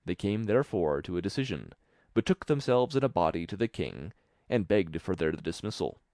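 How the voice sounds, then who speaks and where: A man's voice: sits low, very animated, moderate pace, a little mellow, no room sound, clean studio sound. A man reading a fairy tale as scripted audiobook narration.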